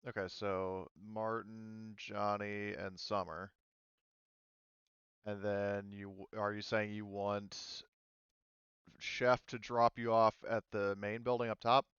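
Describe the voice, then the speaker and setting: A man running a tabletop roleplaying game as game master in casual talk, thinking aloud with many pauses. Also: fairly low pitch, flat delivery, fairly bright tone, clean tone, no room sound, highs cut off.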